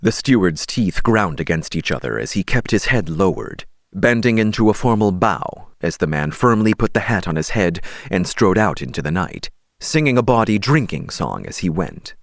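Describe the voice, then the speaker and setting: Low-voiced male storyteller with animated delivery. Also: moderate pace, no echo, studio quality. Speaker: audiobook narrator. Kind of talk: scripted dramatic storytelling narration.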